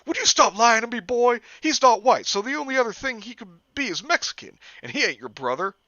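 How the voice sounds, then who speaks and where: A man's voice: extremely high pitch, big pitch swings, brisk, no echo, voice pushed up, heavily acted. A man voicing a bigoted, trigger-happy male police officer in a drawling hick voice, on a dull lo-fi recording. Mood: yelling. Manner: drawling, loud and blustering.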